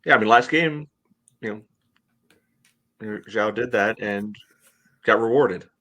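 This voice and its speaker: A man's voice: lowish voice, takes it slowly, bright, forceful tone. A middle-aged American man co-hosting a podcast, casually analyzing sports from home over a video call. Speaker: podcast co-host.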